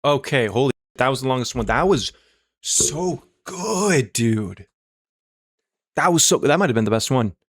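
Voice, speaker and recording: A man's voice: medium pitch, lively intonation, a little slow. A man giving casual reaction commentary as a streamer watching videos.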